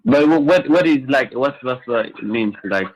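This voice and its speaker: A man's voice: medium pitch, animated, unhurried, muffled recording. A man in a casual debate, hesitant and agreeable, mostly answering briefly and stumbling when he pushes back. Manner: hesitant, agreeable, short replies.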